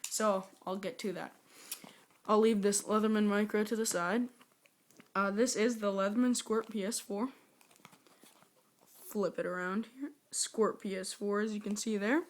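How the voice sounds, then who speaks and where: Hoarse, flat-voiced woman rambling through a review. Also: medium pitch. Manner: rambling, chatty, self-aware. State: hoarse, scratchy from a sore throat. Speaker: product reviewer. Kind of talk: casual unboxing review.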